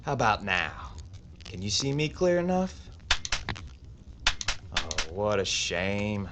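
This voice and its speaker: A cool, mocking gunfighter voiced by a man with a lowish voice. He taunts his enemy in a calm, unhurried drawl and never raises his voice. Faint noise sits under the recording. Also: heavily acted. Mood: taunting. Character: cool, taunting male Old West gunfighter.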